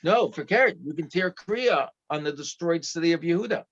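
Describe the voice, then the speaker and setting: A man in his sixties joins over a video call as a guest expert and tour guide. His voice sits a little high, and he explains things quickly and with keen enthusiasm. The call audio is dull and muffled. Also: lively intonation, a bit bright. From United States.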